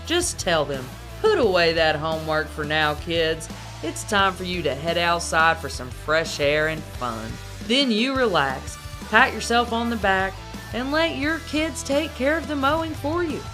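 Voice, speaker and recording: A woman announcer gives a playful, upbeat sales pitch in a mock commercial. Her pitch rises and falls freely, and light music runs faintly behind her. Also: lively intonation, unhurried, a bit bright.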